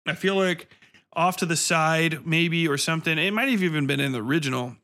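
A man's voice: a little high, fairly quick, pushed and bright. A man hosting a podcast, giving a casual film review monologue in a blunt, sardonic, profane way.

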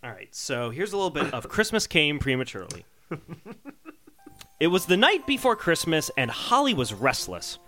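Animated, bright-voiced man hosting comedy. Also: fairly high pitch, moderate pace, soft background music. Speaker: comedy podcast host. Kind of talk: casual comedic banter and mock-serious story reading.

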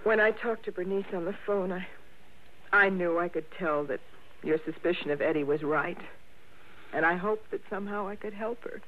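A woman's voice: fairly low pitch, moderate pace, lightly acted. An actress playing a grieving, hesitant woman in a 1960s radio drama. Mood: sad, halting. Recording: thin phone-like old radio recording.

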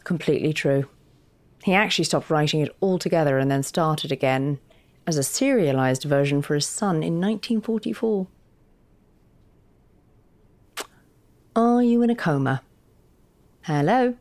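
A frank and wry woman who coaxes her writer partner along, played by an actress. Her voice sits fairly low. She is articulate and encouraging, in a natural audio drama style. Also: moderate pace, a little mellow, lightly acted. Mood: wry, reassuring. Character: candid, witty, encouraging female partner of a writer. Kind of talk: naturalistic audio fiction acting.

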